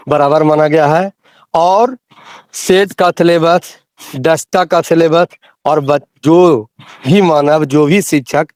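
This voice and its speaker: A man's voice: fairly high pitch, no echo. A man explaining rules in a phone discussion, insistent and repeating phrases for emphasis. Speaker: interviewee explaining teacher rules. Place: recorded audio call.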